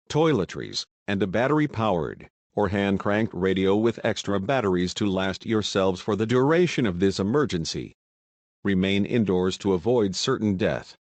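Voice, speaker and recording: Male actor as solemn head of state, lowish pitch, formal measured crisis speech, dry sound. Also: no room sound. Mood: solemn, resolute. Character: grave male President giving a national emergency address. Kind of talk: formal scripted crisis address.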